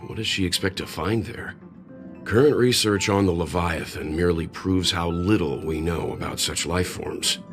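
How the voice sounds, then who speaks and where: A deep, gravelly older scholar. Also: male voice. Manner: measured, reflective. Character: thoughtful older male scholar. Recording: game cutscene with faint music.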